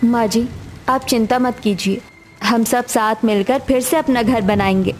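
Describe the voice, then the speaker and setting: A woman's voice: on the high side, a little slow, a little mellow, a little hiss or hum, natural voice. A woman voicing a poor, devoted, hopeful young daughter-in-law in a cartoon family story. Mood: determined, hopeful. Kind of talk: cartoon dialogue acting. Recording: music behind the voice.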